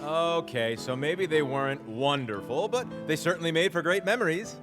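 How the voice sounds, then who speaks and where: Nostalgic adult narrator, male actor: animated, fond delivery, music underneath, live reverberant stage recording. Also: fairly high pitch, moderate pace, natural voice. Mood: coaxing. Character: nostalgic grown man fondly recalling childhood Christmases. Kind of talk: scripted monologue performed live.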